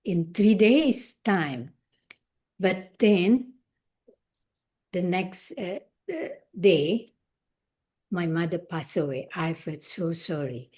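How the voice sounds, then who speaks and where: An older woman from Indonesia, whose first language is Indonesian, speaking haltingly and searching for words in an interview. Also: fairly low pitch, dark tone. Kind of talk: conversational interview.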